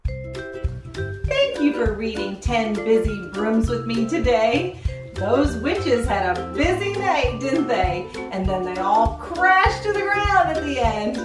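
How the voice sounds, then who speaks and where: A middle-aged woman hosting a children's read-aloud, playfully leading young listeners through counting and asking them questions in an animated sing-song way. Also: on the high side, takes it slowly. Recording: roomy echo, distant mic, music behind.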